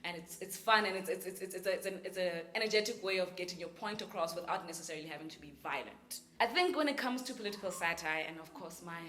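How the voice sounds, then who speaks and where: A woman's voice: fairly low pitch, fast talker, few pauses, fairly bright tone, reverberant. A woman on a discussion panel, talking casually and laughing often while she explains. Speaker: cast member in a panel discussion.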